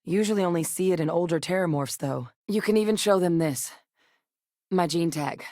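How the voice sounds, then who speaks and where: An actress voicing an earnest woman scientist in a video game, speaking in a measured, candid way. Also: darkish tone, dry recording, studio quality, close to an everyday voice. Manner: measured, earnest, low-key. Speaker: game character.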